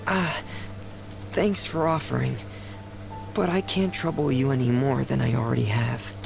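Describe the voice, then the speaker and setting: An actor playing a shy, soft-spoken young male inventor in an anime video game, hesitant and polite. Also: medium pitch, steady tone, a little slow, vocal fry. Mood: apologetic, polite. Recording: game music behind the voice.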